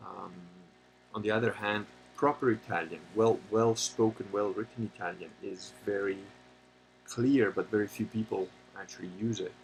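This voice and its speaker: A man with a low-pitched, gravelly voice talks loosely as a livestream host, wandering from point to point with frequent pauses and fillers. He turns blunt and opinionated when he takes a side. There is a faint hum of background noise behind him. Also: fairly even intonation, low-quality recording. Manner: rambling, halting, many pauses and fillers. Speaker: livestream host answering chat. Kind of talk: casual unscripted monologue.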